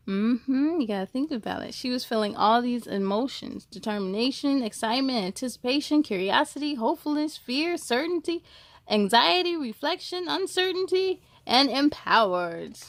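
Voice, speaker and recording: A woman's voice: high voice, lively intonation, normal speed, no echo. A woman hosting a children's read-aloud, enthusiastic and speaking straight to young listeners, with storybook reading and chatty asides.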